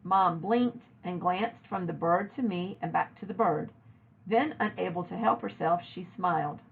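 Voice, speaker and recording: A woman reading a children's novel aloud in an expressive narrating style, like a teacher reading to a class. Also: on the low side, unhurried, vocal fry, breathy edge. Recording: narrow, phone-like lo-fi audio.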